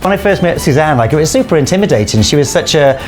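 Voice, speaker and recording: A man's voice: a little high, animated, fast talker. A man from Wales, chatting in a casual, self-deprecating way in a documentary interview. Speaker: Irish dancer in a comedy circus show. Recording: music behind the voice. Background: music.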